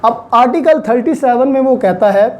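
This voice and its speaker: A man's voice: very high-pitched, normal speed. A man with a Pakistani accent giving an explanatory law lecture in a didactic way, repeating key points and mixing in English terms.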